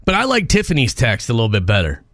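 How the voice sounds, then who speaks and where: A man's voice: mid-range voice, huge pitch swings, fast talker, a bit bright, no echo, studio quality. An American man co-hosting a morning radio show, bantering casually and playfully teasing his partner. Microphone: close studio microphone.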